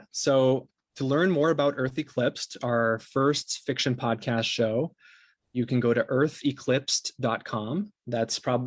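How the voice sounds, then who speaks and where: An earnest man answers as a guest in an interview. His voice sits at an ordinary male pitch, and he talks in a conversational, appreciative way. The sound is dry, with no room echo. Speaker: interview guest, podcast company co-founder.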